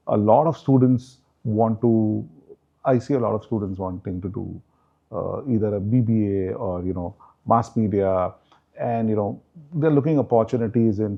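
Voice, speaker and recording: Gravelly man hosting an interview. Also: lowish voice, muffled recording. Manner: conversational, fills with "you know" and "right?". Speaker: host, interviewer. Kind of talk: interview chat.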